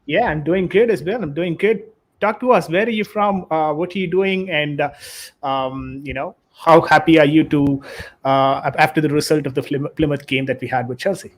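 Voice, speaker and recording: A man hosts a casual live football stream, talking straight to his viewers. His voice sits a little high for a man, and he reads out chat messages with plenty of ums and uhs. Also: moderate pace. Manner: reads chat messages aloud, hesitant with fillers.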